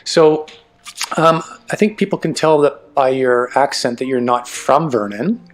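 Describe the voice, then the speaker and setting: A man hosting a podcast interview, enthusiastic and encouraging, often answering with quick affirmations. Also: mid-range voice. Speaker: podcast host. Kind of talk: conversational interview.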